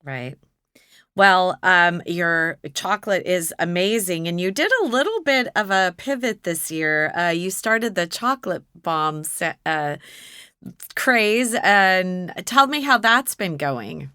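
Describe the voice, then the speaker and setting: A woman's voice: lowish voice, animated, slow pace, pushed and bright, smooth, dry recording, studio quality. A woman hosting an interview, asking upbeat, encouraging questions. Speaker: podcast host. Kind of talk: casual interview.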